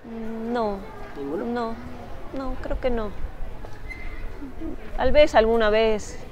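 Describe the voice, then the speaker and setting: A woman's voice: a little high, takes it slowly. A woman giving informal interview answers, hesitantly searching for words. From Argentina. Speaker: documentary interviewee. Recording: faint background ambient noise.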